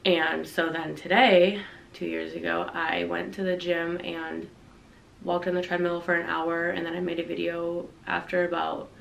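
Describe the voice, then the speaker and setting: A young woman speaks to her camera from a dim bedroom, congested from a cold and confiding in an earnest way. Her voice sits fairly low and carries a heavy gravelly fry. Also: little pitch movement, moderate pace, a bit bright, a little hiss or hum. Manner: earnest, confiding, reads from notes. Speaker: vlogger. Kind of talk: candid personal talk to camera.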